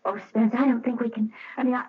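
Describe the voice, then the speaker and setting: A woman's voice: a little high, steady tone, fast, slight background noise, close to an everyday voice. A middle-aged woman playing an anxious, wistful wife in a radio mystery drama, hesitant and emotional, often breaking her phrases. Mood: shaken. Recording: muffled, dull old radio recording.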